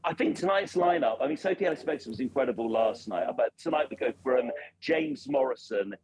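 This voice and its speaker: A man's voice: medium pitch, animated, fairly quick, darkish tone, slight background noise. A male radio presenter reporting live and enthusiastically from an outdoor race circuit, promoting a festival. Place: outdoors at a motor racing circuit. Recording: remote line, cars audible behind.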